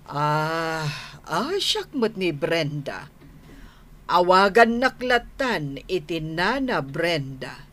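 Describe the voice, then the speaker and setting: A genial older neighbour in a radio drama. Her voice sits fairly low and she talks without hurry, pausing as she goes. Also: big pitch swings, fairly bright tone, exaggerated voice. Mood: friendly. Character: genial older neighbour woman, addressed as auntie. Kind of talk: Ilocano radio drama acting.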